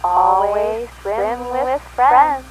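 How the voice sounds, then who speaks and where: An actress voicing the eerie, dreamy echo of a lost woman, speaking in halting, disconnected fragments through a radio. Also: a little high, music in the background. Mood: dreamy, detached. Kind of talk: live radio drama acting. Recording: crackly noisy radio transmission.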